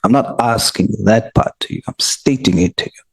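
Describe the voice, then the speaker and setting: A man, a committee member pressing a witness with slow, pointed, repeated questions in a parliamentary hearing. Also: mid-range voice, gravelly, breathy edge, rough recording.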